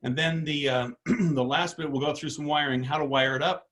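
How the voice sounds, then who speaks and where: A middle-aged man with a slightly hoarse voice leading an online product training, informal and rambling with many fillers and restarts. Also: fairly high pitch, fairly even intonation, moderate pace, a bit bright.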